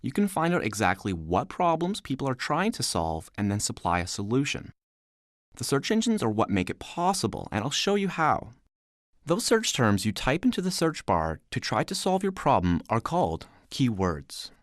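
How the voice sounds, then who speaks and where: Animated male instructional narrator, dry sound. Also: medium pitch, moderate pace, dry recording. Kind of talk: scripted instructional narration, voice-over demo.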